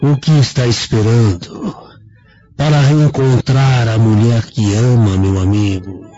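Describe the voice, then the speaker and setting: A man acting as a frank Roman confidant in a radio drama. The voice is mellow and unhurried, with earnest concern. It sounds dull and lo-fi over faint music. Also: mid-range voice, fairly even intonation, natural voice. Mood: urging, concerned.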